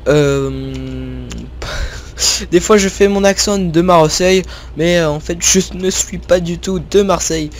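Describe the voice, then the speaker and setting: A man's voice: high-pitched, takes it slowly. A young man from northern France giving casual commentary on his own gameplay, rambling with many fillers and self-corrections as he talks to his viewers. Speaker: gaming video creator. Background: faint game sounds of tractors and farm ambience.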